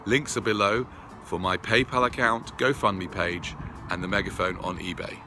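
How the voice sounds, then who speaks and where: A man earnestly reading out a prepared personal update to his followers in a deliberate way. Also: fairly low pitch, fairly even intonation, noisy background. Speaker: video creator addressing followers.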